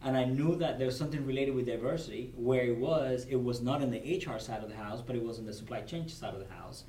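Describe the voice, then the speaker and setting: A man's voice: mid-range voice, fast talker, warm tone. A man from Cuba with a Cuban Spanish accent, Spanish as his first language, speaking earnestly as a guest on a podcast at a convention and often repeating words as he gathers his thoughts. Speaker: guest, corporate supplier diversity director. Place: convention venue. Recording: roomy, distant mic with faint noise.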